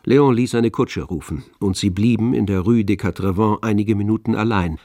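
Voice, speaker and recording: A man's voice: on the low side, moderate pace, dark tone, no echo, clean studio sound. A man reading scripted literary narration as the narrator of a radio play. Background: music, with violin.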